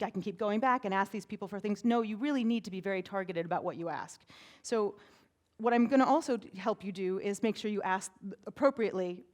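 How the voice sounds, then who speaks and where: A woman with a big voice giving a conference talk on stage as a PR firm president, chatty and energetic, often tagging "right?" onto her points. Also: mid-range voice. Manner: energetic, chatty, uses many "right?" tags.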